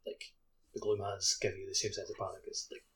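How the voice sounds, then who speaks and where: A man's voice: low voice, monotone, quick pace, a bit bright, a little hiss or hum. A man on a podcast chatting casually about a video game, peppering his talk with "like". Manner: frequent filler "like". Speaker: podcast host.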